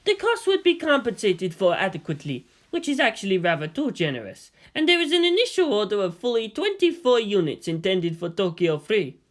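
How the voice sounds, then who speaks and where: A man voicing a calm, earnest ambassador in a story reading, with a formal, diplomatic manner. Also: high voice, brisk, few pauses. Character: deferential, persuasive male ambassador, calm and earnest.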